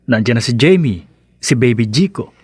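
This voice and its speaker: Devoted young son and husband, radio drama: mid-pitched, placating, hesitant, closely recorded. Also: male voice, animated, moderate pace, husky, no echo, clean studio sound. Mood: earnest, calm. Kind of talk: radio drama acting in Tagalog.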